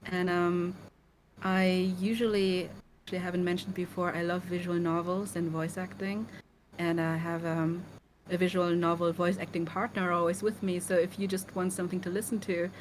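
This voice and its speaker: A woman's voice: lowish voice, level tone, moderate pace, a little hiss or hum, low-quality recording. A woman guest on a casual stream interview, friendly and giggly. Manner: friendly, giggly, soft-spoken. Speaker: guest singer.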